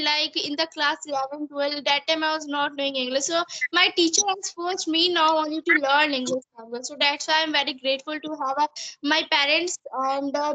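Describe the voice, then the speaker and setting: A woman's voice: high voice, bright, forceful tone, rough recording. A young woman with an Indian accent and Hindi as her first language, giving earnest, repetitive advice in a conversational English practice interview.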